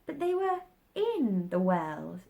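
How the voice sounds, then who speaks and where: A woman's voice: mid-range voice, wildly swooping pitch, takes it slowly, creaky, dark tone, exaggerated voice. A woman voicing a curious, polite little storybook girl who is earnest and easily offended. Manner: earnest, questioning. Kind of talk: storybook character voice.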